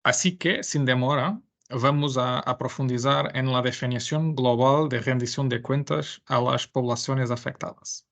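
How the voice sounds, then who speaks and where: Gravelly-voiced Portuguese man presenting. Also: mid-range voice, a bit bright. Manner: careful, slightly halting non-native Spanish. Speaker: webinar presenter, information management adviser. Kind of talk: presenting a webinar.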